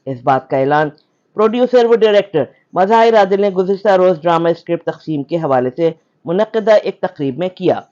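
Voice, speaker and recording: Higher-pitched man reading news. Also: animated, darkish tone. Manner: formal announcing delivery. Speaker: news reporter. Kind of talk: scripted news narration. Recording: dull lo-fi voice-over.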